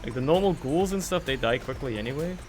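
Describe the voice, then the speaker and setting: Young man, German first language, casual game commentary, joking and swearing, middle pitch, faint music behind. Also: lively intonation, fast talker, a little mellow. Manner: rambling, joking, swears often. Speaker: streamer.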